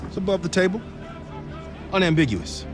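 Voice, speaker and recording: An actor playing a middle-aged, world-weary military pilot with a wry, terse manner in a video game drama. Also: male voice, high voice, lively intonation, normal speed, over music. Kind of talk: cinematic video game acting.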